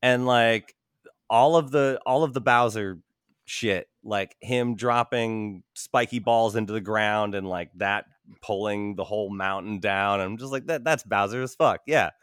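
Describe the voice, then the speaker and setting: A man's voice: fairly low pitch, unhurried, a bit bright, clear, resonant tone, dry recording, clean studio sound. A man in casual podcast chat as a host, loose and profane, often acting out imaginary quotes. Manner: peppers talk with acted-out imaginary quotes.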